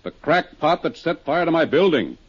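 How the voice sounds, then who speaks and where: Gruff, bad-tempered older tycoon. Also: male voice, on the high side, big pitch swings, moderate pace. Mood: belligerent. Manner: gruff, barking and contemptuous. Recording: muffled 1950s radio broadcast.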